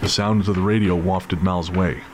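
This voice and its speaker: A man's voice: low voice, steady tone, normal speed, over music. A man narrating a scripted audio drama as storyteller.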